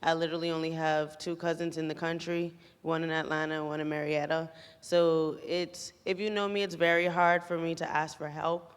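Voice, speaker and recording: A woman's voice: deep voice, little pitch movement, normal speed, clear, resonant tone. A woman from the Bahamas giving a casual testimonial to an audience in a chatty, informal storytelling way. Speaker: programme participant giving a testimonial. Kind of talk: casual, anecdotal public testimonial. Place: large echoey room at a graduation event. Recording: echoey hall, long reverb.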